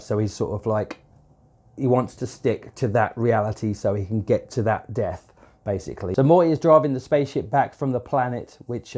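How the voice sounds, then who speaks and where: A man's voice: fairly low pitch, warm tone. A man with a cold and a stuffed-up nose, casually reviewing a show episode in a rambling way, with lots of fillers and swearing. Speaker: video reviewer.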